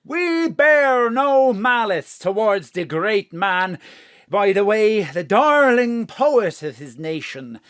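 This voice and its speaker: A fanatical ringleader in an audio drama. He declaims slowly and grandly, like ritual oratory, with big swings in pitch and a ceremonial, theatrical manner. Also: fairly bright tone, exaggerated voice. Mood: solemn, grandiose.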